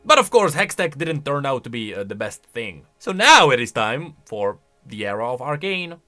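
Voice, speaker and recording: A man's voice: medium pitch, sing-song, moderate pace, bright, forceful tone, soft background music. A man giving casual, wry, opinionated reaction commentary as a video game lore commentator.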